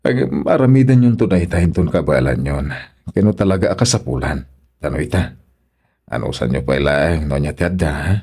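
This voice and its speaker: A man acting a stern provincial father in an Ilocano-accented radio drama. His voice is low, dark and husky, and he admonishes like a practical middle-aged parent. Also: expressive, fairly quick, exaggerated voice. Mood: stern, admonishing. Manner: lecturing, admonishing.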